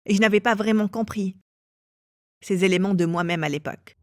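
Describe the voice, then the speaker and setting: A woman's voice: medium pitch, brisk, a little hiss or hum. A woman from England telling her own life story as an interview guest. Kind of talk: personal testimony in an interview.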